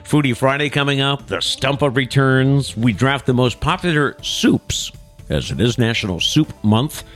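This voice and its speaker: A man's voice: mid-range voice, lively intonation, normal speed, a bit bright, faint music behind. A middle-aged man with a nasal, congested voice, hosting a casual morning show and reading the rundown. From South Coast Massachusetts. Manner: chatty, reads segment rundowns. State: congested from a cold. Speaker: show host. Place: small studio.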